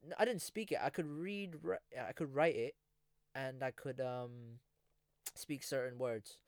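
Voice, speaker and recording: Young man, swears, stammers, mid pitch, dry close sound. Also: unhurried, clean tone, no echo. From England. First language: Filipino. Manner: slangy, swearing, stammering restarts. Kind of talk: casual chat.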